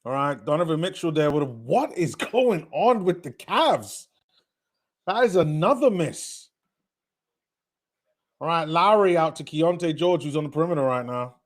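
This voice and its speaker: A man with a British accent blended with North American, hosting a casual live watch-party stream, chatting with viewers and getting excited on big plays. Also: fairly high pitch, moderate pace. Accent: British mixed with North American. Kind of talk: live casual game commentary and chat.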